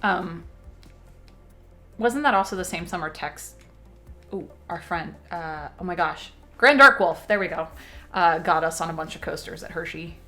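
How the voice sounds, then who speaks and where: Animated young woman reading stream chat. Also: fairly low pitch, moderate pace. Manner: chatty, animated, lots of fillers and giggles. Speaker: stream co-host. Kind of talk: casual chat, reading chat messages. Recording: faint music behind.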